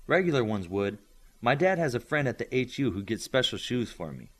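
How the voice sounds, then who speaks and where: Male reader as polite, reserved boy, audiobook character: medium pitch, understated, matter-of-fact delivery. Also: sing-song, fairly quick.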